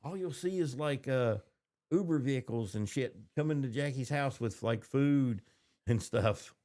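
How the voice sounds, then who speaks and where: Guy cohosting a podcast, medium pitch, just riffing, wry and jokey. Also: unhurried, a little mellow. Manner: joking, wry. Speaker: podcast co-host. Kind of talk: casual chat.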